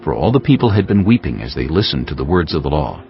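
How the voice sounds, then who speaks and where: A man narrating scripture for an audiobook in a measured, formal manner. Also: rumbling low, big pitch swings. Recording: dull lo-fi audio under prominent music.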